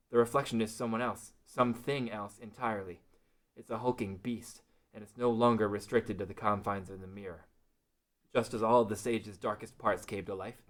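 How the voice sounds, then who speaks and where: Man, low voice, scripted storytelling narration, dramatic fantasy table read. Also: fairly bright tone.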